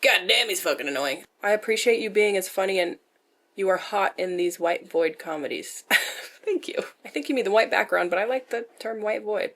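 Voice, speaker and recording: A woman's voice: mid-range voice, animated, fairly quick, fairly bright tone. A young woman talks in a nasal, mid-range voice. She is casual and candid, as in a question-and-answer vlog, with self-deprecating dry humor and easy swearing. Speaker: vlogger.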